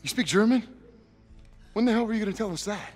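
An actor playing a cynical, bullying American army sergeant in a World War II video game. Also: male voice, high-pitched, fast talker, soft background music, close to an everyday voice. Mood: suspicious, sneering.

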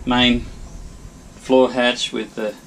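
A man's voice: medium pitch, little pitch movement, extremely slow, fairly bright tone, slight background noise, rough recording. Chatty older Australian man on a boat. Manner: chatty, folksy, thinking aloud. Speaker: sailing vlogger. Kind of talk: casual vlog narration. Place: sailboat cabin.

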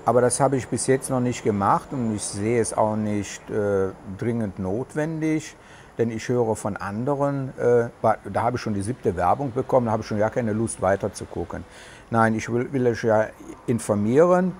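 Middle-aged man, regional German dialect, chatty and halting, lapel mic on an outdoor terrace, faint ambience behind. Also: medium pitch, a little mellow, a little hiss or hum. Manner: rambling, many hesitations, chatty. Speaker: vlogger. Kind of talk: casual talk to camera. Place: covered terrace outdoors in the tropics.

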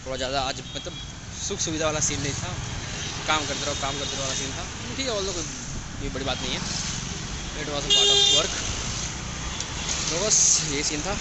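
A young man talks through his day in a chatty vlog, dropping English words in as he goes. His voice sits a little high and is recorded on a handheld phone outdoors. Loud traffic and motorbike noise run underneath. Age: young adult. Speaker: vlogger. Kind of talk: casual vlog narration. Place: outdoors on streets, bus stand and roadside. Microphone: phone camera held at arm's length. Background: traffic, motorcycles and street noise.

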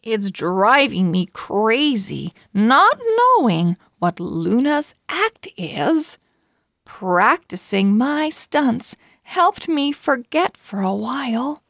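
Female children's read-aloud host, expressive and careful, some creak in the voice, dry close recording. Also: a little high, no echo. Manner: deliberate, word by word, expressive for young readers.

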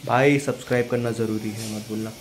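A man's voice: on the low side, fairly even intonation, brisk, over music. A young man with an Indian accent, chatting casually to his phone held at arm's length as a vlogger, mixing in English words. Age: young adult. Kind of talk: casual vlog chat with English words mixed in. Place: on the move in car, garage, home and gym.

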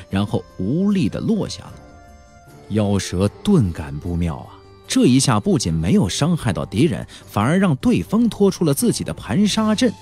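A man's voice: mid-range voice, a little mellow, slightly rough, dry recording. A man narrating a fantasy novel as a dramatic audiobook, theatrical and emphatic, drawing out key words.

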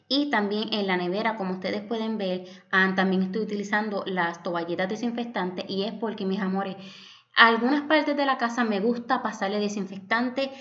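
A woman's voice: mid-range voice, a bit bright, lo-fi sound. Chatty young Puerto Rican woman vlogger. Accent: Puerto Rican Spanish. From Puerto Rico. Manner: affectionate, chatty, addresses viewers as "my loves". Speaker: home vlogger. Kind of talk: voice-over vlog narration.

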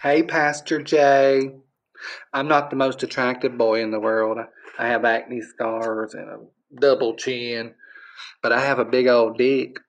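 An awkward, lewd admirer played by a man leaves a video message in a comedy skit. He speaks in a flat, mid-pitched monotone. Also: unhurried, muffled recording, natural voice. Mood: flat, propositioning.

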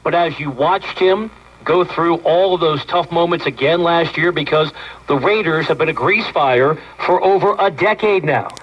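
Husky sports talk man on old radio. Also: on the high side, normal speed. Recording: dull sound from vintage tube radio. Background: played through an old table radio.

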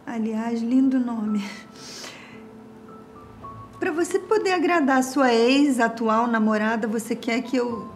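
A woman's voice: on the high side, takes it slowly, long pauses. An actress playing a wry, questioning businesswoman in a film drama, with faint music behind.

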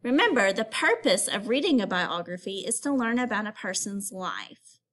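A woman teacher giving a read-aloud lesson for children, instructive and expressive, as if putting questions to pupils. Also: on the high side.

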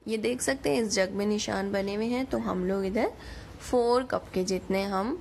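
Pakistani lady doing a casual home cooking voiceover, super gravelly fry in her voice, bit of background noise. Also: mid-range voice, moderate pace, a little mellow. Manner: chatty, informal. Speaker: home cook, recipe channel host. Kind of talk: casual recipe voice-over.

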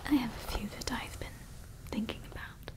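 A woman's voice: normal speed, natural voice. A woman voicing a scheming evil female scientist in an ASMR roleplay, whispering throughout and rambling with fillers. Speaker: ASMR creator.

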